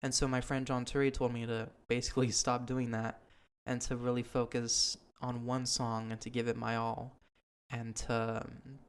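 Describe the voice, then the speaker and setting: Young man, podcast host, casual chat, even monotone delivery, mid pitch, earnest and rambling. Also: moderate pace, clean tone. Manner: earnest, rambling with many ums.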